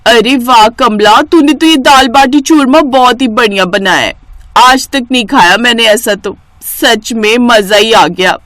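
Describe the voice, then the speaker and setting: A woman's voice: a little high, measured pace, a bit bright, no echo. A woman voicing a rich lady employer in a cartoon story, effusive and emphatic, kindly giving orders to her cook. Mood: delighted. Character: rich female employer, pleased, effusive, bossy but kindly.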